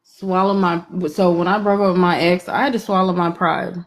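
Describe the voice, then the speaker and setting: A woman's voice: fairly low pitch, flat delivery. Frank woman on a phone call. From Atlanta, Georgia, US. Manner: frank, self-deprecating, talks in quick bursts. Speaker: caller on a live stream. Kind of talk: candid casual storytelling.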